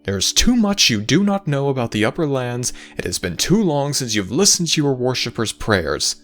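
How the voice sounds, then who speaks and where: Casting: male actor as teasing Egyptian god of the afterlife, lively swooping delivery, sly dramatic pauses, fantasy audio drama over music. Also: medium pitch. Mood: lofty, knowing. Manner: theatrical, sly, measured storytelling with dramatic pauses. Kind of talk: scripted fantasy audio roleplay.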